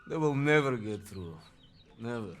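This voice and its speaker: An actor playing a cocky, teasing young Russian soldier and brother in a game cutscene, with a Russian accent. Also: male voice, mid-range voice, lively intonation, unhurried, noisy background, close to an everyday voice. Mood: earnest. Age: young adult. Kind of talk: video game cutscene acting.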